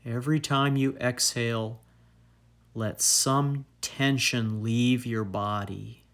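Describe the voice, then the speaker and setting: A man narrating a guided meditation in a calm, soothing, deliberate way, leaving long pauses. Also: mid-range voice. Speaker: meditation guide and fitness trainer.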